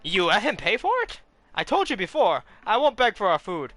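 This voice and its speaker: A man puts on a stern middle-aged farmer uncle who scolds in clipped, raised bursts. The voice sits high and bright, pitched well above his plain reading, with faint music under the storybook reading. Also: big pitch swings, moderate pace, no room sound, heavily acted. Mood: harsh. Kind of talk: audiobook character voice.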